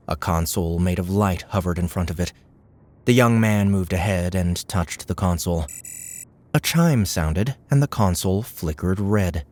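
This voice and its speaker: A man narrates an adventure audiobook in a low-pitched voice. He delivers scripted third-person prose as a storyteller. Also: darkish tone.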